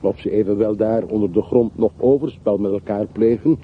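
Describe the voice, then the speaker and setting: A man's voice: lowish voice, fairly even intonation, a little fast, close to an everyday voice. An actor playing a bitter, sardonic man revisiting the town of his wealthy childhood in a radio play. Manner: sardonic, brooding, rhetorical. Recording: muffled, noisy old radio play recording.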